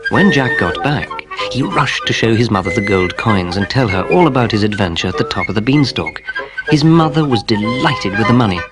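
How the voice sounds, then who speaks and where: A man with a fairly low voice narrates a children's storybook. His scripted delivery is expressive and lively in pitch, with clear music behind him. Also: a little fast. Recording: music playing underneath.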